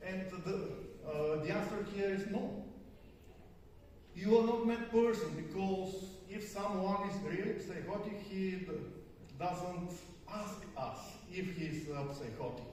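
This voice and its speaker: A man with a Bulgarian accent giving a conference talk as a psychotherapist, speaking haltingly with many fillers and stutters. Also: sits high, darkish tone, a little hiss or hum. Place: large conference hall. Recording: distant, echoey hall recording.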